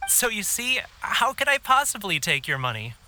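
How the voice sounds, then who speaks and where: A cheerful fortune slip vendor greets customers in a bright, lively voice. He is welcoming and generous in manner. A faint hiss sits behind him. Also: high voice, moderate pace, heavily acted. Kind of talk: game cutscene voice acting.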